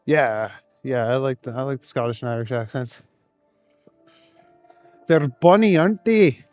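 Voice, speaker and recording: A man's voice: medium pitch, measured pace, a little mellow, soft background music. A man live streaming while out on cold city streets, reading chat aloud in an easygoing, chuckling, rambling way. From Canada.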